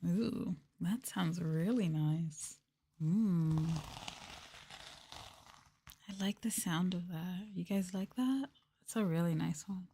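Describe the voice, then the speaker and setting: A woman speaking softly and intimately in a casual ASMR chat, at times dropping to a whisper. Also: on the low side, slow pace, long pauses, slight background noise. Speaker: streamer, VTuber.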